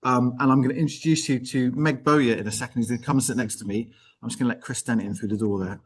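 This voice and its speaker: A man presents an informal live arts show and interviews guests. His delivery is enthusiastic and chatty, at a middling pitch, wandering off into friendly asides. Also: fairly even intonation, fast, a little hiss or hum. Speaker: host.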